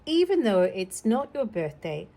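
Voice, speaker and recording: A woman voicing a kindly, busy father in a children's storybook, gentle and measured. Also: medium pitch, big pitch swings. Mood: proud, approving.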